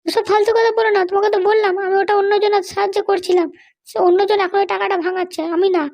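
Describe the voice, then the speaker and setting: Woman playing bossy, indignant cartoon lady politician, tiny childlike voice, extremely high, rapid scolding delivery. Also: flat delivery, a little mellow. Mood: defensive. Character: haughty, threatening female political boss held in jail. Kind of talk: Bengali comedy cartoon dialogue.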